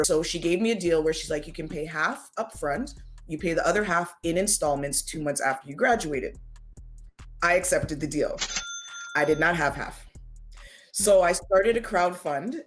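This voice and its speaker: A woman from the Caribbean, a guest in an interview, candidly recounting her path with easy laughs. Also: low voice. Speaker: guest, front-end web developer. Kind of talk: casual interview chat.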